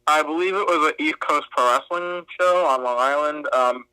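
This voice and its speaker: A man's voice: fairly high pitch, moderate pace, sharp tone, smooth, no room sound, poor audio. A young man, a wrestling referee, answering interview questions casually with lots of "like" fillers. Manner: chatty, many fillers like "like".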